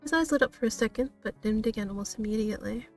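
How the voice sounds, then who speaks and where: A woman's voice: on the high side, quick pace, recorded from a distance, faint music behind. A woman streaming a visual novel, switching between read-aloud narration and casual, giggly, self-deprecating commentary.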